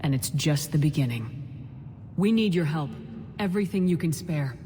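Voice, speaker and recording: A woman's voice: sits low, animated, normal speed, warm tone, a little hiss or hum. An actress playing a resolute female military commander in a game, speaking firmly and earnestly. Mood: urgent, resolute. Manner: firm, earnest. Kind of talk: dramatic game dialogue. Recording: reverberant hall sound, music behind.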